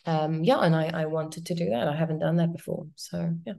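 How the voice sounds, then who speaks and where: A South African woman whose first language is Afrikaans, talking as a guest on a podcast. Her voice sits fairly low, and she speaks thoughtfully, pausing and reworking her sentences as she goes. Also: gravelly, dark tone. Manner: thoughtful, circling back to rephrase. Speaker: guest actress. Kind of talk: casual podcast interview.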